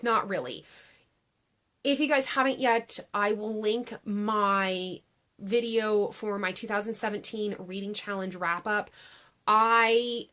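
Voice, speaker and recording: A woman hosting her own book channel, chatting casually to camera with a raspy, congested voice after a long day of filming, with frequent restarts and "like"s. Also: fairly high pitch, fairly bright tone. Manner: chatty, restarts sentences, many "like"s. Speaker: BookTube host. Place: home filming setup beside a laptop.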